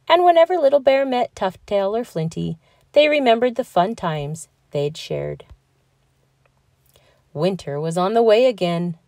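A woman reading a children's picture book aloud with slow, expressive storytelling. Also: medium pitch, no echo, studio quality. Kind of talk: read-aloud storybook narration.

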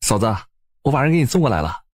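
A man playing an eager, breezy young gentleman with a brisk, commanding manner in a historical audiobook drama. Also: medium pitch, big pitch swings, breathy edge, no echo, studio quality.

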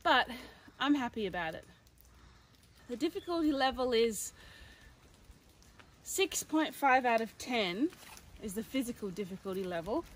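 Expressive young woman on a hiking trail. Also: on the high side, low-quality recording. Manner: expressive, exasperated, quick to laugh. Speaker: hiking companion. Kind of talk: casual on-camera chat. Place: outdoors on a forest hiking trail. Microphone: phone camera held by companion.

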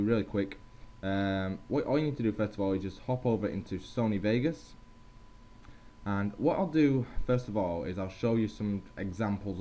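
A young man giving a casual software tutorial as host, on a headset mic. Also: on the low side. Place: at a computer. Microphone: gaming headset. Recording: dull headset mic with faint hiss.

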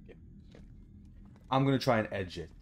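A man's voice: fairly low pitch, animated, moderate pace, a little hiss or hum. A young adult man giving casual, muttered video game commentary indoors, frustrated and swearing freely. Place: indoor room at home.

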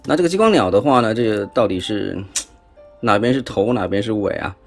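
Low, gravelly Taiwanese man. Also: lively intonation, a little fast, faint music behind. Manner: chatty, punctuated with particles and rhetorical questions. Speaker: toy unboxing reviewer. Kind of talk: casual hands-on product review commentary. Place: indoors at a tabletop.

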